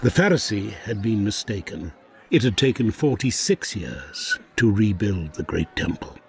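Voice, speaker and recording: A man narrating a scripted audio drama in a solemn, deliberate way. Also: lowish voice, very animated, a little hiss or hum. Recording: soft music behind.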